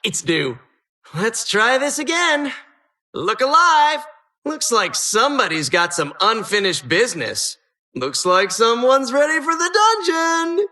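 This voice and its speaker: A gleefully sinister female dungeon host from a video game. The voice is bright and forceful, leaping up and down as it teases. Every line has a theatrical, mock-welcoming polish with a sardonic edge. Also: on the high side, big pitch swings, unhurried, heavily acted. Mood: playful, taunting. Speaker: game announcer.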